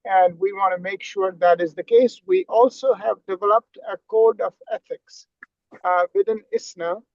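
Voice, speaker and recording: A man representing a chaplain endorsing body, explaining requirements on a video call in a measured, formal way. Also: sits high, no room sound. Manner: measured, formal, occasional filler. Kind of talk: explaining a process on a panel. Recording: dry, dull lo-fi call audio.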